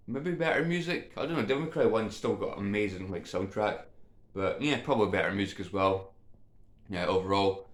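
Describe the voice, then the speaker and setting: A man's voice: lowish voice, fairly bright tone. A man chatting casually to viewers as a video game vlogger, slightly congested with a cold, in an informal, hesitant, meandering way. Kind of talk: casual rambling chat to viewers. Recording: echoey untreated room.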